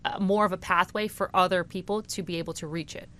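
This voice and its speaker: A young woman from South Florida co-hosting a casual podcast, chatting enthusiastically and dropping in quick affirmations. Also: fairly low pitch, fairly even intonation, bright, forceful tone. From South Florida, USA. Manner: enthusiastic, conversational, frequent affirmations. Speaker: podcast co-host. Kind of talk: casual chat.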